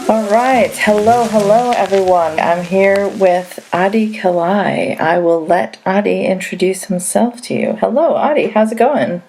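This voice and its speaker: A woman's voice: medium pitch, a little slow, few pauses, a little mellow, a little hiss or hum, rough recording. A woman who grew up in Saudi Arabia, hosting a podcast interview in a chatty, casual way full of "like" fillers. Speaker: podcast host.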